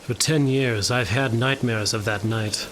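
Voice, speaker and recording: A man acting as a haunted, grieving fantasy hero with a mid-pitched voice, recounting old nightmares slowly and gravely. Loud ambient sound surrounds him, as in a radio play. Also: fairly even intonation, noisy background, natural voice. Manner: slow, grave, sorrowful. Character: haunted man recalling the night his home was destroyed. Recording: heavy ambient sound under the voice.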